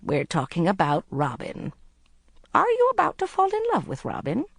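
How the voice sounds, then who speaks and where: A woman voicing a calm, shrewd older woman who speaks mildly and gently. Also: fairly low pitch, gravelly, breathy edge, dry recording, studio quality, pitched above the performer's own voice, full character voice. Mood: gentle. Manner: mild, gentle, unhurried.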